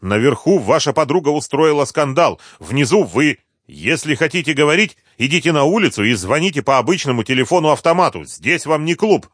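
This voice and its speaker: A man's voice: medium pitch, animated, normal speed, breathy edge, dry recording, studio quality, pitched above the performer's own voice. A man as a stern, cold woman doctor. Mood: scolding. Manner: cold, curt, loud. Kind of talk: audiobook character dialogue.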